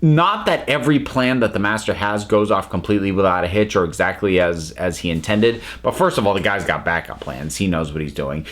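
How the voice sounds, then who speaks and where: A man giving an unscripted review to viewers, speaking emphatically and haltingly as he thinks aloud. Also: sits low, a bit bright. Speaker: reviewer and channel host.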